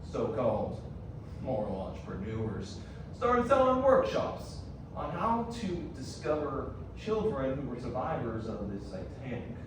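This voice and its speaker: A serious actor playing a male witness with a mid-pitched voice, recounting a case in a grave, measured way. It is a stage performance recorded from a distance in a very reverberant, noisy hall. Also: huge pitch swings. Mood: grave explaining. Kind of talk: theatrical stage acting, explanatory storytelling. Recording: echoey hall from far off, noisy.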